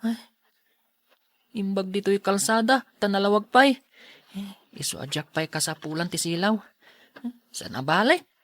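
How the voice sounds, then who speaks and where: A timid schoolboy, acted by a woman in an audio drama, polite and easily frightened. The delivery is hesitant and bashful, at a middling pitch, recorded close and dry. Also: a little slow, pauses often, no room sound, natural voice. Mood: nervous.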